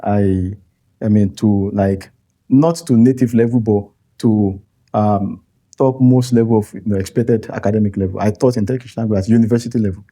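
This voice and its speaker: A Nigerian man with a low, mellow voice, his first language Yoruba. He talks as an interview guest, thoughtful and halting. He restarts his phrases and chuckles often as he explains. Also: steady tone. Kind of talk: interview conversation.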